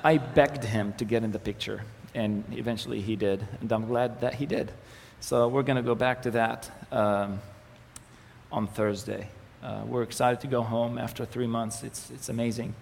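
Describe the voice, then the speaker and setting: Gravelly-voiced man speaking in an echoey hall. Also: mid-range voice, moderate pace. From Israel, Galilee. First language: Arabic. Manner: relaxed, joking, many fillers and restarts. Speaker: ministry communications manager giving a presentation. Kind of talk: church presentation with slides. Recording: echoey hall with faint noise.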